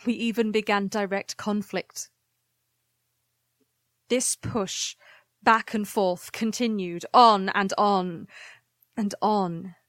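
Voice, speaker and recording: Woman doing weary plant-folk historian, slow n formal, proud but full of regret, dry close sound. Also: mid-range voice, steady tone, fairly bright tone, no room sound. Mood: solemn, measured. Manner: formal, measured, solemn. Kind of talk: audio drama monologue.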